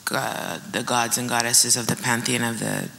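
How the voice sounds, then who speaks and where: A woman moderating a panel informally, reflecting aloud and pausing between phrases. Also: a bass voice, flat delivery, vocal fry, bright, forceful tone, a little hiss or hum. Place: indoor event stage.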